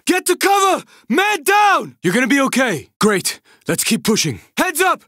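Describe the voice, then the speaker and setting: A man voicing an urgent young male survivor in a zombie video game, giving terse, forceful combat callouts. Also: very high voice, huge pitch swings, normal speed, no echo, clean studio sound, heavily acted. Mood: steady, focused.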